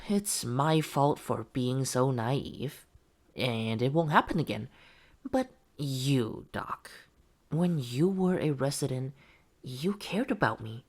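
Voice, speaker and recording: A wounded, vengeful test subject acted by a woman in a horror audio drama. The voice is low and breathy, unhurried and coaxing, with menace and hurt mixed together. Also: full character voice. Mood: hurt, angry, then pleading.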